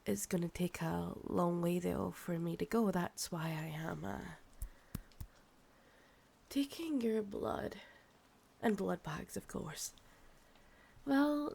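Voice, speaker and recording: Woman as an ancient Scottish vampire, slow and creaky. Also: medium pitch, dark tone, heavily acted. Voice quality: breathy, often dropping to a whisper. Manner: slow, coaxing, sighing. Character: ancient insatiable female vampire, possessive, soothing and sickly. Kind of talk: intimate ASMR roleplay, often whispered.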